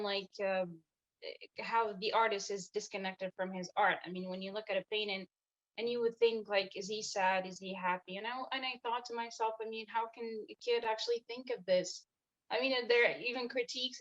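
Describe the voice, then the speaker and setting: A woman's voice: medium pitch, fairly bright tone, muffled recording. A young woman from Algeria, a student judge, answering interview questions casually and enthusiastically with many fillers.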